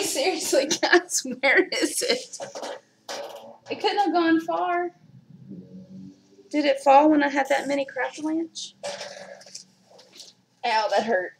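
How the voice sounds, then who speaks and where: A woman's voice: high-pitched, fairly bright tone, a little hiss or hum. Chatty woman with a mild Kentucky accent. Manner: chatty, talks to viewers in the chat. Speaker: livestream crafter. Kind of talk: casual live-stream chat.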